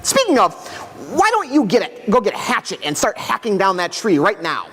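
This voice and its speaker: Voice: medium pitch, wildly swooping pitch, normal speed, fairly bright tone. A performer playing a vain, bossy king in a community readers' theater, speaking imperiously in a reverberant hall. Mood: haughty, bossy. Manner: imperious, self-satisfied.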